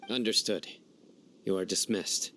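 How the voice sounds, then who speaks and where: A man voicing a stern, composed commanding officer in a video game, speaking in a terse, authoritative, deadpan way. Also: low voice, unhurried, a little hiss or hum, full character voice.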